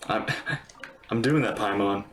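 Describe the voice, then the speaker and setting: A woman's voice: very deep voice, measured pace. A woman streamer giving casual live game commentary in a hesitant, musing way.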